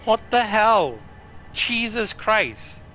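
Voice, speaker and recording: Bright, tense-voiced man in dull voice chat. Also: high-pitched, very animated, drawn-out words. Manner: teasing, wry. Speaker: streamer's friend playing along. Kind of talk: casual banter. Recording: dull voice-chat sound, faint noise.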